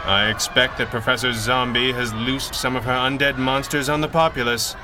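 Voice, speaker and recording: A man's voice: mid-range voice, flat delivery, moderate pace, background noise, lightly acted. A man playing a guilt-ridden masked crime fighter in a 1930s-style radio adventure, speaking with formal, earnest, dramatic diction. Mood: grave, resigned.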